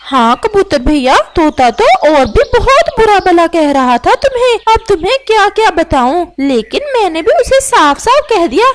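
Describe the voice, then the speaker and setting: A woman's voice: very high voice, sing-song, normal speed, few pauses. A woman voicing a sly, gossipy female crow with a falsely sweet manner in a children's cartoon story. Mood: goading. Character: sly, scheming female crow stirring up quarrels. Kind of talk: animated children's moral story dialogue.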